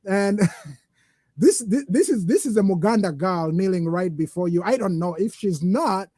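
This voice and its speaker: Warm-voiced host from Uganda, halting. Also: male voice, high-pitched, lively intonation, no echo, studio quality. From Uganda and Sudan. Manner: halting, many restarts. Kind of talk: casual interview.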